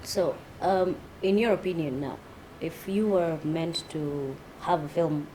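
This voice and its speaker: Low, mellow-voiced woman interviewer. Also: slight background noise, poor audio. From Kenya. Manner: curious, agreeable, quick to affirm. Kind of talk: sit-down interview.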